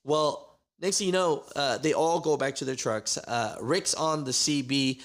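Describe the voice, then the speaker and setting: A man's voice: medium pitch, a little slow. A man hosting a trucking channel, recapping a story in casual commentary with many fillers.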